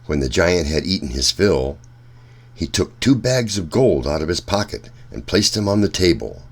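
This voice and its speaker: A man reading a children's story aloud as narrator, with deliberate, measured phrasing. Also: sits low, very animated, breathy edge.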